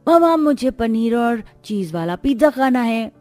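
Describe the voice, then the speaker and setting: A woman's voice: on the high side, very animated, unhurried, darkish tone, dry recording, faint music behind, voice pushed up. A woman voicing a naughty, spoiled little boy in a cartoon story, petulant and demanding. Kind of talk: cartoon child voice.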